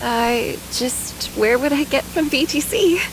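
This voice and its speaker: A woman's voice: high-pitched, takes it slowly, slight background noise, poor audio. A woman voice-acting a proper, saintly young woman in a video game, earnest, polite and precise in her way of speaking. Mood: hesitant, puzzled.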